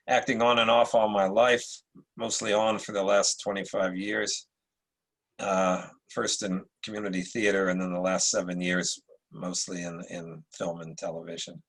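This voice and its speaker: A mature American man speaks in a low voice with plenty of gravel and a husky edge. He introduces himself casually, rambling and pausing as he goes. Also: fairly bright tone. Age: middle-aged or older. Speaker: actor.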